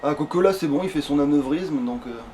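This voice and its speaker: A man's voice: on the high side, a little fast, music in the background. A man giving casual, grumbling commentary as he plays a video game, swearing freely. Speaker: gamer playing in a let's play. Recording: noisy home game recording.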